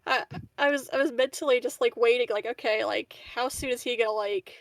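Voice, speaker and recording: A woman's voice: a little high, fairly quick, dry recording. A woman chatting informally as a player in a tabletop game. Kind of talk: casual chat.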